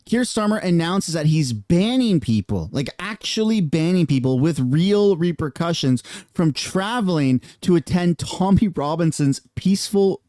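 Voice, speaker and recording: A man's voice: on the high side, a little mellow, no echo. Heated man ranting on a dry mic. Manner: ranting, heated, casual slang. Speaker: political commentary youtuber. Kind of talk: reaction commentary vlog.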